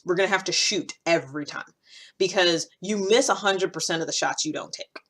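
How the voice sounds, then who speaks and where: A woman's voice: on the low side, brisk, a bit bright. An American woman in her thirties giving informal, unscripted advice to camera, emphatically repeating key points for stress. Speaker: podcast host giving self-improvement advice. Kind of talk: casual, unscripted talk to camera.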